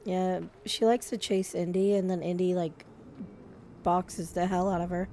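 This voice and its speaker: Slightly hoarse chatty woman streamer. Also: lowish voice, fairly even intonation, unhurried, warm tone, slight background noise. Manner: chatty, effusive, with frequent restarts. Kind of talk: casual live-stream chat.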